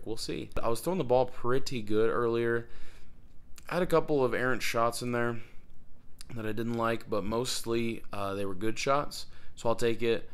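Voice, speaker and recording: A man's voice: lowish voice, fairly bright tone, smooth. A man in his twenties from Iowa, talking casually to his phone camera in a hotel room, with an upbeat, chatty manner and lots of fillers. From Iowa, United States. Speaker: vlogger. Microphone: phone held at arm's length.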